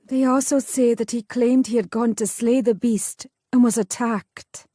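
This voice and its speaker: Wry stubborn young noblewoman, higher and mellow, a woman reading her part. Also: steady tone, unhurried, no room sound.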